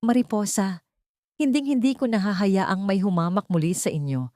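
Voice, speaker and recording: A woman's voice: mid-range voice, normal speed, darkish tone, no room sound, clean studio sound, close to an everyday voice. A Filipino woman voicing a repentant, protective man in an audiobook love drama, earnest and solemn. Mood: resolute devotion.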